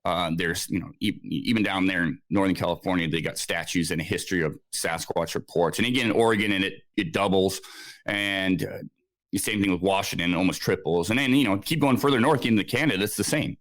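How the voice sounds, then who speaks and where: A man's voice: low-pitched, quick pace, fairly bright tone, no room sound. A man in his forties, born in Scotland, telling his story as a podcast guest, rambling with many fillers and restarts. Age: 40s. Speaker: guest researcher. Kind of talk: casual interview storytelling.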